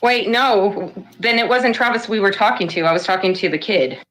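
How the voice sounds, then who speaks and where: A woman's voice: mid-range voice, normal speed, a bit bright, low-quality recording. A woman over forty arguing bluntly in a heated online debate. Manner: blunt and argumentative. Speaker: debate participant.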